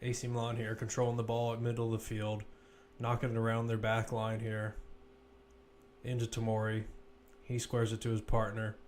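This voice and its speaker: A man giving live play-by-play football commentary as a commentator, measured but lifting when attacks build. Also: lowish voice, monotone, a little mellow, a little hiss or hum.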